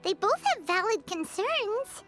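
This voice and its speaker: A woman voicing a tiny, childlike fairy companion in a video game, squeaky and perky. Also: big pitch swings, a little slow, fairly bright tone, faint music behind, exaggerated voice. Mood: helpful, eager. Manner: perky, refers to self in third person.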